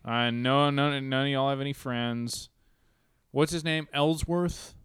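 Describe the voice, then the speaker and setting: Laid-back man, smooth voice. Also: mid-range voice, fairly even intonation, unhurried, fairly bright tone, no echo. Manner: laid-back, swears casually, stumbles over words. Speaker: podcast co-host. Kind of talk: casual chat about movies.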